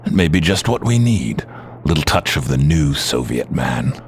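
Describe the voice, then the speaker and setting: An actor playing an aged, grave mutant leader in an audio drama, speaking in measured, formal, commanding phrases. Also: male voice, a bass voice, sing-song, a little hiss or hum, heavily acted. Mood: resolute.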